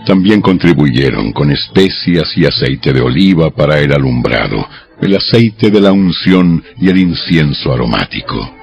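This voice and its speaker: Male scripture narrator, extremely low creaky voice, animated intonation, measured storytelling, slightly dull recording, background music.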